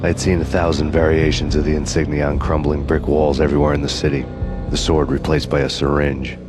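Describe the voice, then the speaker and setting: An actor playing a weary, hard-boiled male cop seeking revenge, narrating in a deadpan, brooding noir style. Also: extremely low pitch, a little fast. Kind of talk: noir video game narration. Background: game music.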